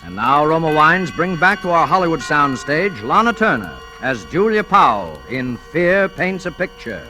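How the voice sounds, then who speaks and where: A man's voice: a little high, big pitch swings, moderate pace, hardly any pauses, bright, forceful tone. A man formally announcing a 1940s radio suspense program. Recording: old 1940s radio broadcast, music behind.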